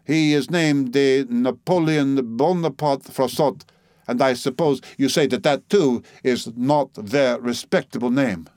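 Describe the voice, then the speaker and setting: A man's voice: medium pitch, unhurried, a little mellow, no room sound, clean studio sound, lightly acted. A man voicing an indignant eighty-two-year-old French woman who speaks broken English with a French accent. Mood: scolding. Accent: put-on French accent.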